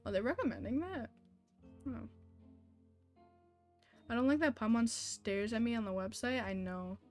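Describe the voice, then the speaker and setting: A woman with a fairly high voice chats casually as she streams a game. She talks half to herself in short, blunt questions and reactions, with faint music behind her. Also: moderate pace. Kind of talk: casual livestream chat over gameplay.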